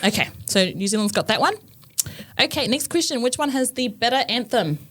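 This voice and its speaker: A woman's voice: fairly high pitch, animated, fairly quick, fairly bright tone. A woman hosting a podcast, upbeat and giggly as she quizzes her guests. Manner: upbeat, giggly, runs a quiz. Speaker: podcast host. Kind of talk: casual interview chat.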